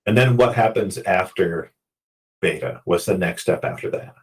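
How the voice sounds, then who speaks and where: A man's voice: low voice, animated, normal speed, recorded from a distance, poor audio. A man hosting a casual chat, joking and upbeat as he asks questions. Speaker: host.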